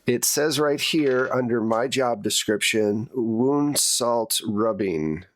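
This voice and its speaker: A man acting as game master, narrating a tabletop game in a halting, conversational way. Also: mid-range voice, dry recording. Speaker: game master, podcast host. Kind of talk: improvised tabletop game narration and casual chat.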